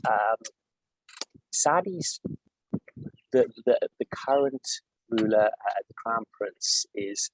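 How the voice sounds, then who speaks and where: Low-voiced South African man, measured. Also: breathy edge, no echo, low-quality recording. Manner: measured, with frequent fillers and restarts. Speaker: guest executive. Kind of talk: interview answers.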